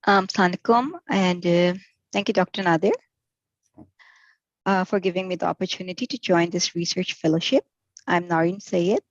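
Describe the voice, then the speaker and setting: A woman's voice: fairly low pitch, fairly quick, long pauses, dry recording. A woman from Pakistan introducing herself hesitantly and politely on a video call. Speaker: research fellow applicant. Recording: slightly dull, dry video call audio.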